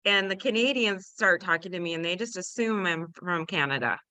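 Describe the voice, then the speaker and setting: A woman's voice: on the low side, expressive, a little fast, pushed and bright, no room sound. An American woman with a slight Midwestern tinge, chatting casually and telling playful stories with a laugh. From United States. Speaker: panelist. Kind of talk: casual chat.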